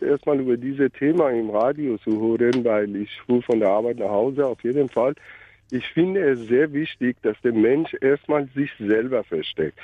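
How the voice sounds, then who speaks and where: A man from Iran with a Persian accent, calling in to a radio show and speaking in an earnest, halting way. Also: medium pitch, no room sound. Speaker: caller. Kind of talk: radio call-in. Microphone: phone. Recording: phone line.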